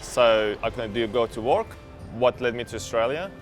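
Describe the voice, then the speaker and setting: A man from Iran giving an interview testimony, pausing and searching for words as he speaks. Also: medium pitch, lively intonation, over music. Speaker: employee sharing his story.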